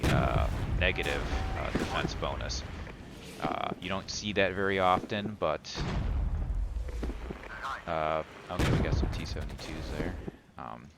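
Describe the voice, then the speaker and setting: Very deep-voiced man, hesitant gamer commentary. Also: heavy background noise. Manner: hesitant, halting, many uhs and ums. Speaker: gamer commentating own gameplay. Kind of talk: casual thinking-aloud game commentary. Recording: game battle music and gunfire behind. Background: game music, artillery, gunfire, vehicles.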